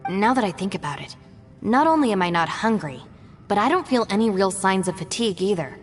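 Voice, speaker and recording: A woman's voice: medium pitch, animated, fairly quick, in a large hall, soft background music, lightly acted. A woman voicing an earnest, cautious young woman adventurer in a video game who speaks formally and carefully.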